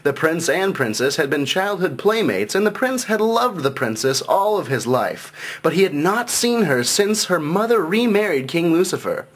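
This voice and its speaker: A man's voice: a little high, very animated, moderate pace, few pauses, slightly rough. A man reading a scripted fairytale as a storybook narrator.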